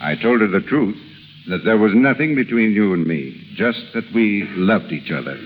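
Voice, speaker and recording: Actor as ardent businessman, animated, tinny 1930s radio. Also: lowish voice, moderate pace, a little hiss or hum, close to an everyday voice. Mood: troubled. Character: ardent, commanding forty-six-year-old wealthy businessman in love. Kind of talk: 1930s radio drama acting.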